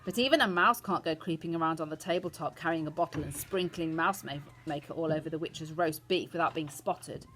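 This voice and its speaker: A woman voicing an old grandmother in a storybook, patient but firm and affectionate, with measured, emphatic phrasing. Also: sits low, fairly bright tone. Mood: conspiratorial, admiring. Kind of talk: storybook character voice.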